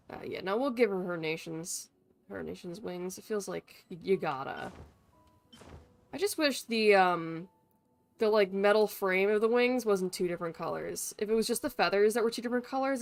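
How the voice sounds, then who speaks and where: A woman live streamer giving casual, irreverent gaming commentary peppered with swearing. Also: mid-range voice. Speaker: live streamer playing a game. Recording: faint game music behind voice. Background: game music.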